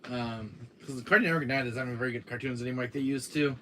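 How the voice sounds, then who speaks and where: A man with a deep voice hosting a casual gaming live stream, rambling with many fillers while talking to his chat, tired from a cold. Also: fast, a bit bright, slight background noise. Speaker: gaming channel host.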